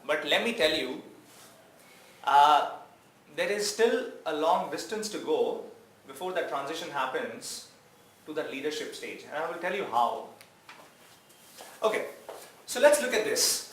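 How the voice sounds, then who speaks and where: A man's voice: a little high, animated, unhurried, pauses often, a bit bright. A male guest professor giving a lecture to students, asking them questions and repeating his key words. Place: large echoey hall. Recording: echoey hall, distant mic, faint noise.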